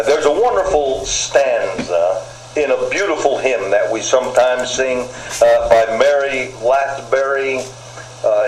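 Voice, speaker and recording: An older man preaching a sermon, deliberate, with folksy asides to his listeners. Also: mid-range voice. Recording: roomy echo, faint background noise.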